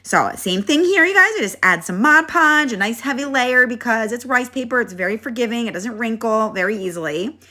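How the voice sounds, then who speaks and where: Chatty, congested woman crafter, lively pitch. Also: brisk, few pauses. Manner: chatty, friendly, step-by-step instructions with frequent "okay?". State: congested, hoarse chest. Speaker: craft channel host. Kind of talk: casual craft tutorial.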